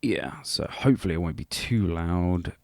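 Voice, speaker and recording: A man's voice: sits low, expressive, normal speed, creaky, dry recording. A man giving an informal music production tutorial in a rambling, chatty and self-deprecating way. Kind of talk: informal software tutorial narration.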